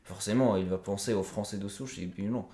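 Male podcast guest, low and warm, earnest, thinks aloud at length, recorded in a roomy space. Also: brisk. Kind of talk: conversational interview.

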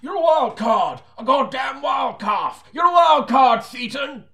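A man's voice: very high voice, lively intonation, unhurried, creaky, voice pushed up, full character voice. A man playing an exasperated, bellowing police chief constable who blusters and repeats himself in a comic detective audiobook. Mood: furious shouting. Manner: blustering, repetitive shouting.

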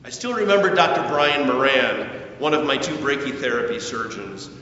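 An older man, a pastor, preaching a sermon with deliberate, emphatic phrasing and stressed pauses. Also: lively intonation, sharp tone. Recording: echoey hall, distant mic, muffled, faint rumble.

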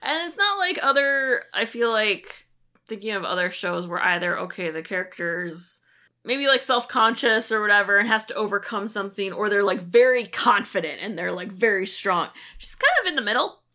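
Female podcast co-host, casual and opinionated, bright voice with lively pitch movement. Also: moderate pace.

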